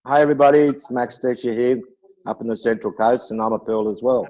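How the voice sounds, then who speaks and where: A man's voice: medium pitch, fairly even intonation, fairly quick, a little mellow, poor audio. A man introducing himself on a video call in a friendly, matter-of-fact way.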